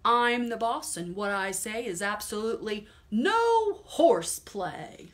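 A man's voice: very high voice, big pitch swings, slow pace, voice pushed up, heavily acted. Woman doing a scolding grumpy farmer. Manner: loud and scolding. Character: grumpy bossy male farmer, fed up.

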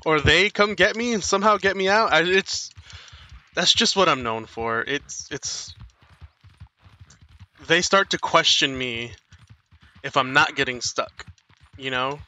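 A man's voice: on the high side, a little slow, pauses often, pushed and bright. A young man with no accent commentating his game at home, rambling and apologizing as he tells self-mocking stories. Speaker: gaming streamer. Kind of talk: casual let's play commentary.

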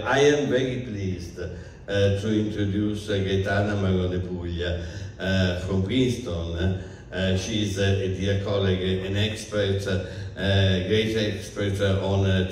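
An older man giving a halting introduction full of fillers at a bookstore event. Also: sits low, a little mellow, slight background noise. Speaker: event presenter. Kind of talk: formal introduction. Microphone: handheld mic, far camera. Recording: echoey room from far off.

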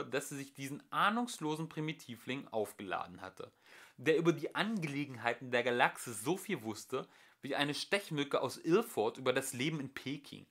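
A man in his thirties reading a novel aloud as a homemade audiobook, shifting his voice for the characters. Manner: lively, shifts pitch and loudness for each character. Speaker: audiobook reader. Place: home room, indoors.

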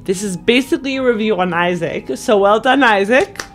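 A woman's voice: mid-range voice, moderate pace. A woman co-hosting a podcast in a casual, conversational way. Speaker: podcast co-host. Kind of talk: casual chat.

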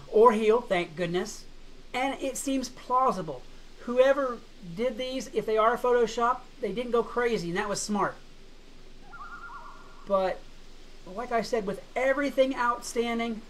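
A woman talking casually as a gaming commentator, a bit hoarse, chatty and skeptical, laughing now and then. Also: medium pitch, slight background noise, poor audio. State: slightly hoarse, frog in throat. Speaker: gaming YouTuber.

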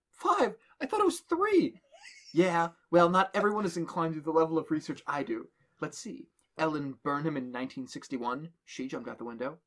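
A man's voice: medium pitch, fairly bright tone. A young man hosting a podcast, reading a horror story aloud and stopping to joke with friends. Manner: reads the story in a plain voice, breaks off to joke and laugh. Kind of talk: casual reading and banter with friends.

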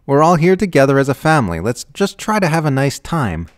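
A stern old grandfather, voiced by a male narrator, dismissive and scolding as he tries to keep the peace. The voice rises and dips in lively fashion, close and dry, in a satirical family story. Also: a little high, a little fast, no room sound, voice pushed up. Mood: placating. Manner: scolding, placating.

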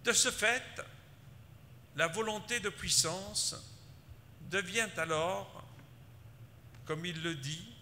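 A man's voice: high voice, pushed and bright. A man giving a formal prepared talk on a handheld mic at a panel. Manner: measured, formal delivery. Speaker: panel speaker. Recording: hall reverb with faint room noise.

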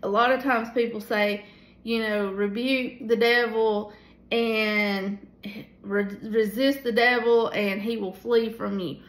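A tired woman in her forties leading a casual Bible study from a small room at home, talking into a phone held at arm's length, with many pauses and fillers. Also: a little high, fairly even intonation, reverberant. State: tired at the end of the day. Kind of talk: casual devotional teaching. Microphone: phone camera at arm's length.

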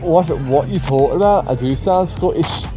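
A streamer putting on a loud, playful fake Scottish brogue, pitched a bit higher than his normal talk. It is hammed up on purpose, loose and jokey, like a bit done for friends, and game music plays underneath. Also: moderate pace, close to an everyday voice. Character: man named Jamie in a jokey mock Scottish brogue. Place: home streaming room.

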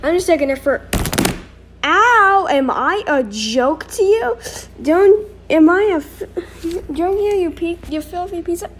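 A woman's voice: very high-pitched, lively intonation, unhurried, voice pushed up. A woman voicing an angry cartoon animal fighter with a raised, indignant delivery. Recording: noisy amateur home recording.

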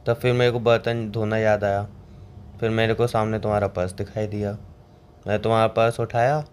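A man's voice: fairly low pitch, flat delivery, moderate pace, darkish tone, close to an everyday voice. Man acting as a cheeky boyfriend, background noise. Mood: teasing storytelling. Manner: storytelling, argumentative, colloquial. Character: cheeky, broke boyfriend justifying himself. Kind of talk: scripted comic phone-call drama between a couple. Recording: clear background ambient noise.

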